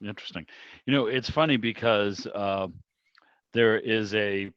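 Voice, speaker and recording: A man's voice: on the low side, measured pace, fairly bright tone, smooth, no echo. A man chatting casually and asking questions in an online meeting over lo-fi video call audio. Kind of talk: casual discussion.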